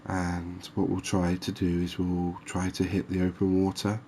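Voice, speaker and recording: A soft-spoken man giving ASMR gameplay commentary, thinking aloud with pauses. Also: low-pitched, monotone, a little hiss or hum. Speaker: gaming video host.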